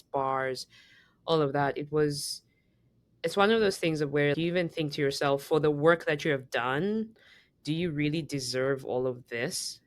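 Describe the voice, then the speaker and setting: A woman from Zambia co-hosting a podcast, telling a story in casual chat with many fillers and restarts. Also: low-pitched. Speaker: podcast co-host.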